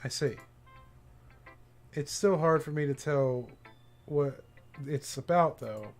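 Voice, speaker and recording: Male livestreamer, voice a bit on the high side, informal visual-novel playthrough with read-aloud lines, soft game music behind. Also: slow pace. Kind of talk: casual playthrough commentary, reading game text aloud.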